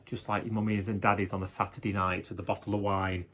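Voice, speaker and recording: A man's voice: lowish voice, little pitch movement, quick pace, fairly bright tone. A man reading a story aloud to children in a playful, dramatic way, with asides to the listeners. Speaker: guest story reader.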